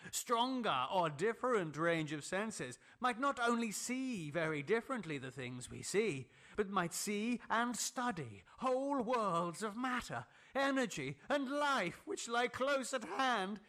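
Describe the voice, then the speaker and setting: A man voicing a fanatical, excitable scientist in a gothic horror story, declaiming pedantically in a high, unnatural voice. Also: full character voice. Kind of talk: gothic horror audiobook character.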